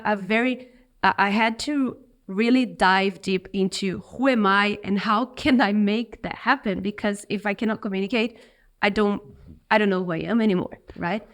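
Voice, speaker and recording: A woman's voice: medium pitch, normal speed. Brazilian-accented woman, reflective guest. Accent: Brazilian Portuguese. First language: Portuguese. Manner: reflective, expressive, with restarts. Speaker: guest, business owner. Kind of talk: interview conversation.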